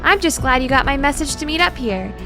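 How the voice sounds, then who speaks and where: A shy, bookish young woman of mild temper, voiced by a woman. Her voice is high, her wording polite and measured, as in an audio drama's dialogue and narration. Music runs behind it. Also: lively intonation, creaky. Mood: mild, hesitant. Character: reserved, bookish young woman, low on energy.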